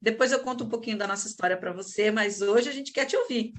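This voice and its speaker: A woman's voice: on the high side, fast. A woman hosting an interview, effusive and admiring as she welcomes her guest. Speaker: host. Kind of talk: enthusiastic interview host.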